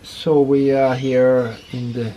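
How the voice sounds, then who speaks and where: A man's voice: medium pitch, little pitch movement, slow, muffled recording. A man from the French-speaking part of Switzerland with a Swiss accent, chatting enthusiastically on a visit to a small cheese factory. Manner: chatty, enthusiastic, switches between English and Swiss German. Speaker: vlogger's father, visiting guest. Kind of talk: casual chat on camera. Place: rural cheese factory in the bush.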